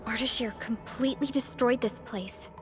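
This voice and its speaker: A woman voicing a calm, courteous and learned ally in a video game, speaking in a measured, formal way. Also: fairly high pitch, lightly acted. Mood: composed. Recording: game music behind the voice. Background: game soundtrack.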